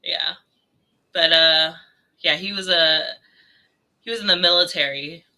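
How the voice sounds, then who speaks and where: A young woman with a strikingly bright, sharp tone talks loosely on a podcast. She tells stories freely, swears casually and acts out what other people said. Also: fairly low pitch, measured pace. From California. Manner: storytelling, swears casually, mimics others in quotes. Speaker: podcast co-host. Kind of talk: casual chat.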